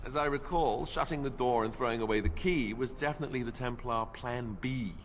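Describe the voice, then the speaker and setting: A man's voice: medium pitch, brisk, slight background noise, close to an everyday voice. An actor playing a wry young male warrior companion in a video game, heard with a long reverb. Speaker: game character.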